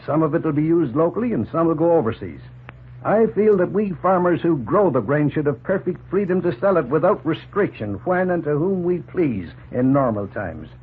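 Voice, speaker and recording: An actor playing a plain-spoken, opinionated middle-aged farmer in a folksy, emphatic 1940s radio drama style. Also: male voice, a little high, very animated, normal speed, breathy edge. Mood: worked up. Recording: noisy, tinny 1940s radio recording.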